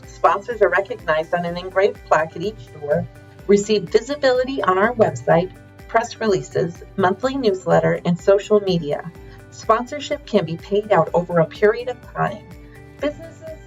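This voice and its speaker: A woman reads a scripted charity announcement, briskly running through a list of items. Her pitch is middling. The sound is dull, with faint music underneath.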